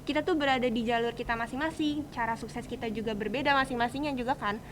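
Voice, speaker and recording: A woman's voice: a little high, quick pace, a little hiss or hum, poor audio. A young woman in her teens with a Jakarta accent hosts a casual student podcast, talking in a chatty, slangy way. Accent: Jakarta Indonesian. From Indonesia.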